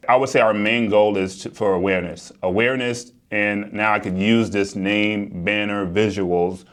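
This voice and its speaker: American male, low-pitched voice, real estate developer presenting his working day in a casual vlog register. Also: fairly even intonation, moderate pace, fairly bright tone. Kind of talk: casual explanatory vlog talk.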